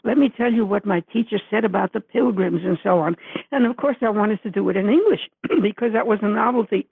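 An older woman from the Dominican Republic, a native Spanish speaker, telling stories thoughtfully with many pauses over the phone. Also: mid-range voice, no echo. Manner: thoughtful, measured, many uhs. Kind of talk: interview storytelling. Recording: gravelly phone line.

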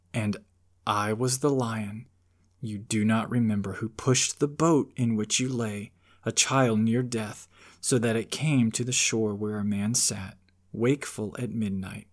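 A man voicing a huge lion with a large, deep, quiet voice, calm and solemn. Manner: slow, hushed, solemn.

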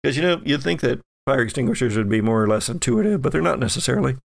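An American man improvising narration as a game master for a tabletop game, in a halting, meandering way. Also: mid-range voice, animated, vocal fry. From Texas, USA.

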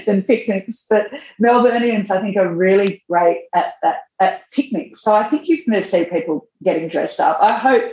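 A woman's voice: mid-range voice, moderate pace, few pauses, a little mellow. Chatty woman on a roomy line. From Australia. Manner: chatty, laughs often, many restarts. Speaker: magazine editor, guest. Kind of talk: casual podcast interview. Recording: roomy, dull remote audio.

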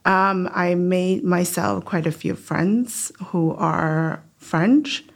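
A native Mandarin-speaking woman in her forties, from Taiwan, chatting as a podcast guest. Her voice sits a little low and she talks thoughtfully, with soft pauses and fillers. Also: creaky. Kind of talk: casual interview chat.

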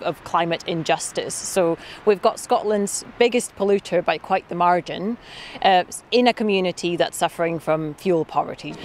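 Woman activist, mid pitch, earnest halting answers, recorded outside with faint background noise. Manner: earnest, with many fillers and restarts. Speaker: activist and camp organiser. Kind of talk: interview answers. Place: outdoors at a camp.

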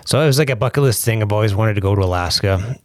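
Low-voiced Canadian comedian, jokey. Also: male voice, rapid-fire, dry recording. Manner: jokey, rambling, laughs often. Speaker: comedian and former country musician. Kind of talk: casual interview chat.

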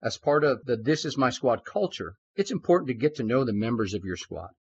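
Fast-talking husky male podcast host. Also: mid-range voice, animated, darkish tone. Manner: upbeat, formal, praising. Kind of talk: formal interview hosting. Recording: dull lo-fi sound.

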